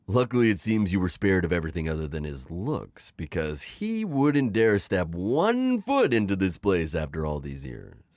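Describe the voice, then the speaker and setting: A man performs a middle-aged retired magical villain in an intimate ASMR roleplay. His low voice swings dramatically up and down as he coaxes and teases. The delivery is theatrical and sly, recorded very dry. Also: huge pitch swings, moderate pace, few pauses, a little mellow, no room sound, exaggerated voice. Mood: mocking, exasperated. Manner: theatrical, teasing, manipulative coaxing with sighs and chuckles. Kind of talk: scripted ASMR roleplay acting.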